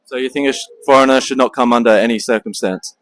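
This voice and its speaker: A man's voice: medium pitch, fairly even intonation, quick pace. A man conducting street interviews, asking short questions with some hesitant fillers. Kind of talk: street vox-pop interview.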